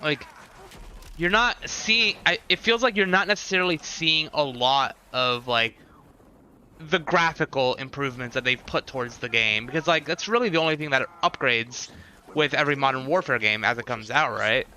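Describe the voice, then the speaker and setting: A man's voice: medium pitch, moderate pace, sharp tone, clean tone. A man chatting casually to viewers as a livestreamer, giving commentary with sarcastic, unimpressed asides.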